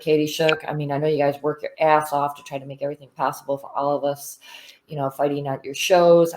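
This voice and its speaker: A woman's voice: sits low, darkish tone. A woman in her thirties, a fighter, talking frankly in a casual interview, swearing freely and full of fillers. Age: 30s. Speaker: bare knuckle fighter.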